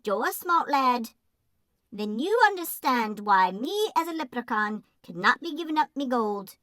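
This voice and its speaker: Storybook leprechaun played by a woman: tiny old Irish man, high-pitched, stubborn, short-tempered, recorded close and dry. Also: animated, unhurried, a bit bright, dry recording. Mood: firm. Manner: lilting, emphatic, theatrical speech.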